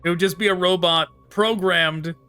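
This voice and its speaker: Male gaming streamer, high voice, informal amused musing, casual commentary over soft music. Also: fairly even intonation, moderate pace, clear, resonant tone. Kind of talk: casual let's play commentary.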